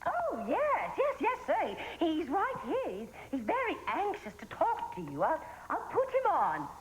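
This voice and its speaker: An actress playing a playful, scheming secretary in a television soap opera, talking in halting, theatrical patter. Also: female voice, lowish voice, expressive, noisy background, close to an everyday voice. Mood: flustered, cheery. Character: scheming, playful woman, a secretary improvising phone ruses.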